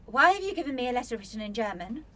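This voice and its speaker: A guarded young woman in a radio play, a prickly student who speaks bluntly. Her voice is high and bright, with a clipped, defensive edge. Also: big pitch swings, rapid-fire. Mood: wary, alarmed. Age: young adult. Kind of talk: radio drama acting.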